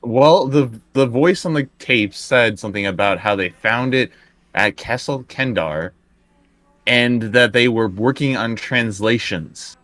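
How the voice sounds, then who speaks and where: A man's voice: medium pitch, measured pace, fairly bright tone, no room sound, natural voice. A man playing a frightened, insistent college student in a tabletop horror game, stammering and repeating himself. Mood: defensive, uneasy.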